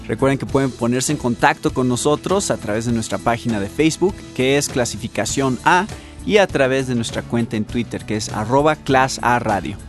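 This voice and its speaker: A man's voice: medium pitch, fairly quick, faint music behind. A man hosting a conversational radio interview, welcoming and questioning in a talk-show style.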